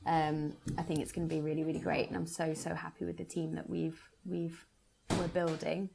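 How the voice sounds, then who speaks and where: Creaky-voiced woman in casual conversation. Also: low-pitched, level tone, moderate pace, darkish tone, a little hiss or hum. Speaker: theatre producer. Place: remote internet call.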